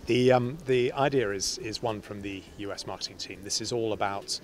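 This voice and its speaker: A man's voice: lowish voice, moderate pace. A man in his fifties giving an interview answer as a company representative, outdoors into a handheld microphone. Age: 50s. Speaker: stud farm representative being interviewed. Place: outdoors in a farm courtyard. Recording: clear outdoor background noise.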